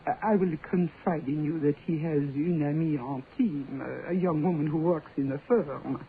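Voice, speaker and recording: A woman's voice: deep voice, moderate pace, a crowd in the background. A woman playing an anxious, deferential French sister with a French accent, hesitant and earnest, in a radio drama. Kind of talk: old-time radio drama acting. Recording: tinny band-limited old radio, some noise.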